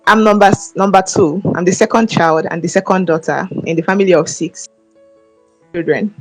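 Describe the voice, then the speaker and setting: A young Nigerian woman from Ebonyi State answering interview questions politely and softly, with hesitant restarts. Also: on the low side, fairly even intonation, normal speed, husky, faint music behind, low-quality recording. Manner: polite, soft-spoken, hesitant with fillers and restarts. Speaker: guest.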